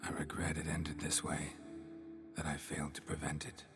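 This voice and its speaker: An actor playing a stoic, world-weary monster hunter in a fantasy game, speaking tersely in a low gravelly voice. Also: male voice, normal speed, music in the background. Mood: remorseful. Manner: terse, clipped, flat delivery.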